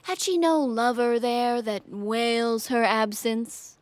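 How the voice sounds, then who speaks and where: A young woman plays a shrewd, courteous Greek lord in a Shakespeare audio drama. The voice is fairly high and slow, formal and measured in its phrasing. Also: dry recording. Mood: probing, polite. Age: young adult.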